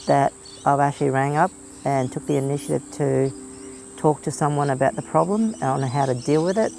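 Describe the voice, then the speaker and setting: A young man telling his own story in a documentary interview, speaking in a soft, earnest and reflective way. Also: mid-range voice, creaky, slight background noise. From Australia, family from Vietnam.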